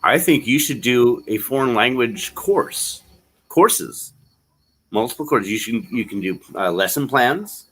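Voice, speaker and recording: A man's voice: mid-range voice, lively intonation, measured pace, fairly bright tone, breathy edge. A man with a Californian accent, chatting on a live stream and giving encouraging advice. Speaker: guest in live chat. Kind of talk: casual live-stream chat.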